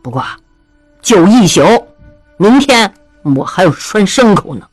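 A woman's voice: mid-range voice, sing-song, measured pace, no room sound, faint music behind. A woman voicing a spiteful, self-pitying old widow who wails her grievances. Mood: grudging. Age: older. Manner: whining, wailing complaint.

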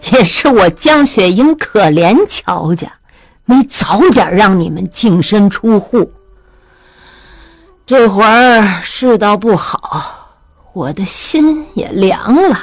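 Woman voicing a bitter old widow, wailing complaints, swooping pitch, faint music behind. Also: no room sound. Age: older. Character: bitter old rich widow, spiteful and self-pitying.

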